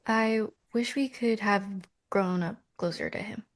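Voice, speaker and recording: An actress playing a nervous, guarded young American woman, hesitant and halting, in a romcom table read. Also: medium pitch, close to an everyday voice. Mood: wistful.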